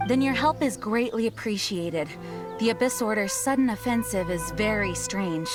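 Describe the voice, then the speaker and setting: A woman's voice: mid-range voice, lively intonation, moderate pace, close to an everyday voice. An actress playing a dutiful female knight commander in a game, formal and composed. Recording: game audio with music.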